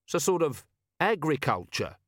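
A man's voice: a little high, very animated, normal speed, a bit bright, no echo, voice pushed up. A man voicing a weary, sardonic middle-aged male commander with dry, sarcastic remarks.

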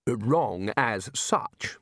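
A man's voice: medium pitch, lively intonation, very slow, a bit bright, dry recording, clean studio sound, full character voice. A man voicing a blustering, flustered senior wizard in an audiobook, hastily placating and repeating himself. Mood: nervous, placating. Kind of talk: audiobook character voice.

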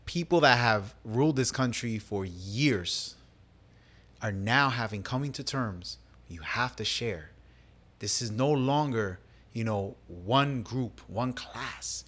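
A conversational host who agrees freely and drops in Spanish now and then. The voice sits mid-range in pitch. The delivery is relaxed and easy. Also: slow pace. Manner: agreeable, uses many backchannels, slips into Spanish. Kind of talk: casual chat.

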